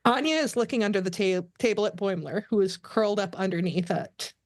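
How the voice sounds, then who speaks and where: A woman's voice: medium pitch, brisk, no echo, clean studio sound. A woman reading stage directions as narrator at a podcast table read of a script.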